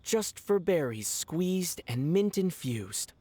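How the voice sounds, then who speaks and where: An actor playing a calm young traveler who recites poetry in a video game. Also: male voice, on the high side, takes it slowly, full character voice. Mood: calm, reciting.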